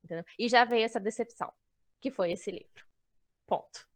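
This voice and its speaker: A woman's voice: fairly high pitch, moderate pace, dry recording. A young woman with a Brazilian accent chatting casually and bluntly, with a playful edge, as a student club member. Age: young adult. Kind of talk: casual chat.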